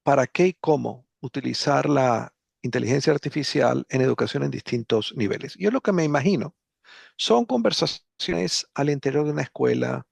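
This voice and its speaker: Gravelly-voiced man, dry close sound. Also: medium pitch, a little mellow, no echo. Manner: measured, interprets for a colleague. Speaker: book author. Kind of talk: panel discussion remarks.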